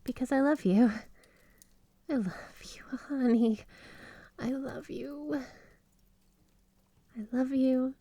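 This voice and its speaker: A woman's voice: high-pitched, slow pace, long pauses, dark tone, no room sound, exaggerated voice. A woman playing a flirty, obsessively devoted yandere wife in an ASMR roleplay, speaking in a sweet, clingy, gushing way. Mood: loving. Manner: sweet, clingy, breathy giggles, gushing affection. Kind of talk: ASMR roleplay, addressing the listener as spouse. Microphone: close studio microphone, dry.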